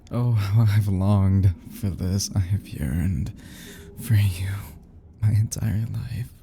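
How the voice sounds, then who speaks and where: A man playing an obsessive, lovesick young kidnapper in an intimate ASMR roleplay, speaking haltingly with stammers, breathy whispers and sighs. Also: on the low side, mellow, a little hiss or hum, exaggerated voice. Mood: yearning, tender.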